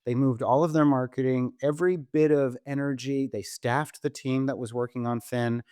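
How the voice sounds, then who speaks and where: A man's voice: medium pitch, fairly even intonation, normal speed, darkish tone, clear, resonant tone, no echo, clean studio sound. A man hosting a conversational business podcast, speaking emphatically and repeating phrases for effect. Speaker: podcast host.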